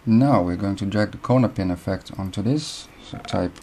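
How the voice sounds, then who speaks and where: A young man from the Netherlands with a Dutch accent, walking through a software tutorial step by step with short pauses. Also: on the low side, slight background noise. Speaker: video editing tutor. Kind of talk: screen-recorded software tutorial.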